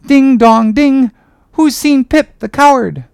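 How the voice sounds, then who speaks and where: A woman voicing a crazed, pitiful young ship's boy in a dramatic audiobook, excitable, chanting and pleading. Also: high-pitched, slow pace, creaky, dry recording, clean studio sound, exaggerated voice. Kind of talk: dramatic audiobook character reading.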